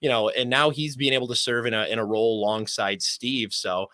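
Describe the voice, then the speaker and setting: A young man with a bright, projecting broadcaster's voice talks in a sports interview. He is articulate and measured, choosing humble, rather elaborate phrases. The sound is dry and close. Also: medium pitch, fairly even intonation, no echo. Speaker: sports play-by-play announcer, interview guest.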